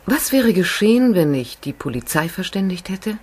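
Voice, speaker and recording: A woman's voice: medium pitch, very animated, normal speed, a little mellow, slightly rough. An actress playing a cool, self-possessed young female thief in a radio crime play, speaking precisely and without fear. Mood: composed. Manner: composed, unafraid, precise.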